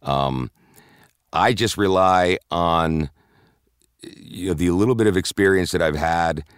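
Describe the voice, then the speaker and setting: A man who works as a voiceover announcer, chatting in an interview with a deep voice and a halting, filler-heavy way of talking. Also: clean tone, no room sound. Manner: rambling, halting, with many fillers and restarts. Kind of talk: casual interview chat.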